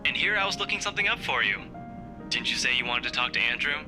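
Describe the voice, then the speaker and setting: A man's voice: medium pitch, expressive, fast, natural voice. An actor voicing a smug, teasing young man, a casual friend, in a visual novel game. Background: game music.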